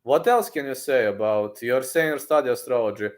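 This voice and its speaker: A man in his thirties with a mid-pitched voice hosts an informal livestream. He speaks off the cuff, often repeating short phrases and thinking out loud. His delivery is halting and conversational as he responds to viewers. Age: 30s. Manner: halting, repetitive, thinking aloud. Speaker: livestream host answering viewer comments. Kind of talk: casual unscripted livestream chat.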